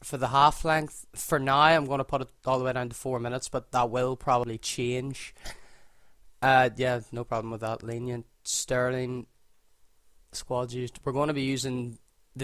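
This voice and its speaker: A Northern Irish man chatting over a football game in a level, even tone with little rise and fall. The sound is close and dry, with no room around his voice. He thinks out loud, restarts sentences and mends them as he goes. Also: medium pitch, moderate pace, clear, resonant tone, dry recording. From Northern Ireland. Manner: relaxed, thinks aloud, self-correcting as he goes. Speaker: gaming video creator. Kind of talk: casual gameplay commentary.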